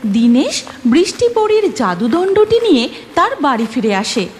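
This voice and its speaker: A woman's voice: high voice, big pitch swings, normal speed, a little hiss or hum. A woman storyteller reading a scripted narration for a children's cartoon tale. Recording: music behind voice.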